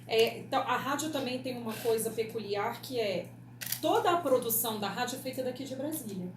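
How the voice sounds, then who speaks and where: A woman's voice: fairly high pitch, a little fast. A Brazilian woman explaining things in an interview as a radio producer, often checking in with short tag questions. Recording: echoey room with clear background noise.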